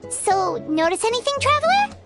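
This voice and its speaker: Very high, squeaky cheeky companion. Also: female voice, big pitch swings, moderate pace, music in the background. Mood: perky. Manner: squeaky, childlike, perky. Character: tiny chirpy, cheeky companion, loyal and outspoken. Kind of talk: video game voice acting.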